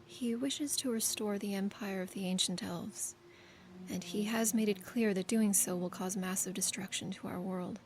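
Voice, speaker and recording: Woman reads shrewd female agent; steady, firm, careful with her words. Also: medium pitch, moderate pace, slight background noise, lightly acted. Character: guarded, calculating female spy.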